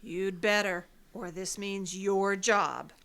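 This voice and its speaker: Sharp, bossy librarian. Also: female voice, medium pitch, steady tone, slow pace. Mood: impatient, stern. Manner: curt, scornful. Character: stern, impatient, bossy female head librarian. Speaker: actor. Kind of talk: old-time detective radio acting.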